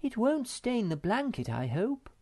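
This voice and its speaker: Motherly female troll played by a man, high and lilting, fretful, sighing, children's storybook. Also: wildly swooping pitch, a little slow, gravelly, darkish tone, exaggerated voice. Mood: anxious. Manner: anxious, sighing.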